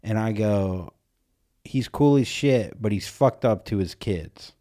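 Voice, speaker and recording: A man's voice: on the low side, slow, dark tone. Teasing man telling stories casually. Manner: loose, teasing storyteller who swears freely. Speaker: podcast co-host. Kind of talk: casual chat.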